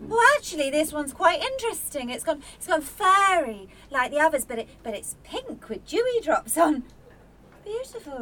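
A woman's voice: high-pitched, fairly bright tone, slight background noise, exaggerated voice. A woman playing a scatty, overworked young student in a radio play, breezy, blunt and rambling as she talks. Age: young adult. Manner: breezy, rambling, self-interrupting. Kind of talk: naturalistic radio play acting.

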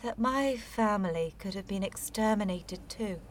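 A woman's voice: mid-range voice, measured pace, lightly acted. An actress in a radio play voicing a wounded, sceptical young woman with a blunt, clipped way of answering. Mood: subdued, stunned. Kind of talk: naturalistic radio play acting.

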